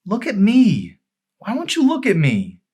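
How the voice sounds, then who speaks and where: A man's voice: high voice, wildly swooping pitch, unhurried, darkish tone, breathy edge, voice pushed up, heavily acted. A man voicing a hurt, emotional young girl in an audiobook, with an emphatic, pleading manner. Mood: upset, pleading.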